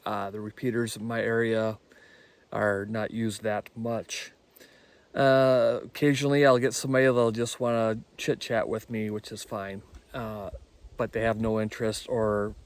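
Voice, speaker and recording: A man speaking casually as an amateur radio operator hosting a hobby video. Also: medium pitch. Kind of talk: casual hobby vlog talk and radio calling.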